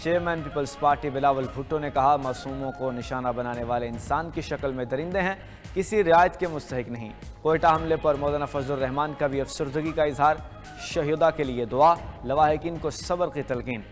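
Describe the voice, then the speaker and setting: A man's voice: fairly high pitch, fairly quick. A man with a Pakistani accent reading scripted news headlines as an anchor. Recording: long reverb with music underneath. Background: music bed.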